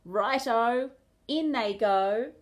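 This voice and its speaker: A greedy dingo cook from a children's storybook, acted out by a woman. He gloats and boasts in a high, sing-song way. His words come slowly, drawn out with glee. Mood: gleeful, boastful. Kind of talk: children's picture book character voice.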